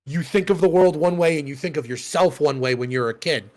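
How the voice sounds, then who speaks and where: A man's voice: a little high, a little fast. A man in his thirties co-hosting a podcast in casual chat, rambling and profane, often laughing at himself. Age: 30s. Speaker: podcast co-host.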